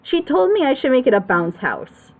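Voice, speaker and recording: A woman's voice: high voice, huge pitch swings, moderate pace, phone-quality sound. A woman hosting a casual reaction show, giving opinions in an emphatic, rambling way. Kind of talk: casual chat, opinionated commentary.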